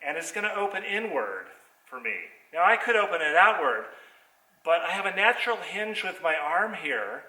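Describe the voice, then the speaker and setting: Bright-voiced man teaching in an echoey theater. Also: a little high. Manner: explains movements step by step. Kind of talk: hands-on demonstration lesson. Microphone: distant computer microphone on a video call. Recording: echoey theater, voice far from microphone.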